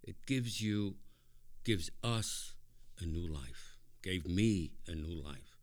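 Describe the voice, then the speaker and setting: An older man giving a personal testimony to a group, speaking in halting phrases with dry asides. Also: low-pitched, expressive. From Hungary. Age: older, born 1944. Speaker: speaker at a recovery meeting, lawyer.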